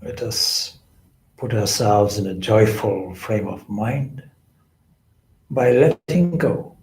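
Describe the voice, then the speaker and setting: A man leading a guided meditation as a spiritual teacher, with deliberate, measured phrasing and pauses. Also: lowish voice, lively intonation, darkish tone, slight background noise. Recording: echoey room.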